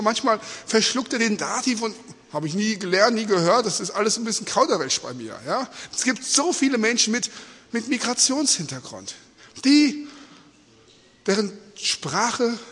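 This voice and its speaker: Animated, husky native Spanish-speaking preacher man. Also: high voice. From Australia-born, mother from Peru, living in Germany. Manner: engaged, questioning, often adding rhetorical check-ins. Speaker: preacher addressing a congregation. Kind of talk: informal, conversational sermon in simple words. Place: large echoing indoor hall, speaking to an audience. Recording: reverberant hall.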